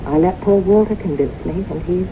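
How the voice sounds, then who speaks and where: A woman's voice: fairly low pitch, a little fast, natural voice. An actress playing a haunted, fearful, romantic woman of forty-one in an earnest, dramatic radio play style. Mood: anguished. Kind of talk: 1970s radio drama acting. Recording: muffled, noisy old radio broadcast.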